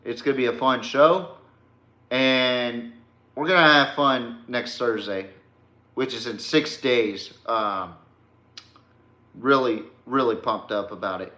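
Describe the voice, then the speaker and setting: Slow-talking, bright-voiced man in a roomy space. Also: mid-range voice. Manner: blunt, casually profane. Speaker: podcast host. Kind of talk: casual solo podcast monologue. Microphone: podcast microphone.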